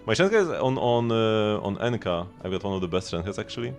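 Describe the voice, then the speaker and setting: A man's voice: lowish voice, expressive, fairly quick, gravelly. A man giving casual live gameplay commentary as a streamer, rambling and thinking aloud. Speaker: game livestreamer. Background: game music.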